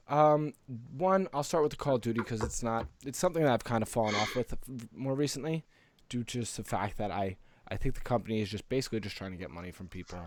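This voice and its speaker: An American man co-hosting a podcast, chatting casually and haltingly, often repeating himself. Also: medium pitch, slight background noise. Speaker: podcast co-host. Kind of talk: casual podcast chat about news and games.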